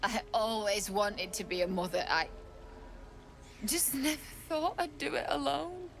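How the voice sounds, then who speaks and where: Distraught young widow, high-pitched. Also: female voice, a bit bright, heavily acted. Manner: pleading, emotional, anguished. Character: distraught pregnant young widow, desperate and grieving. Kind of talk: video game voice acting.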